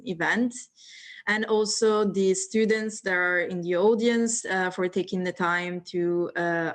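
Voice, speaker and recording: Italian-accented woman in her late twenties, guest on a video call, friendly and a little nervous. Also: fairly low pitch. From northeast Italy. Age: 20s. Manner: friendly, nervous laughs, many fillers. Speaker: guest speaker.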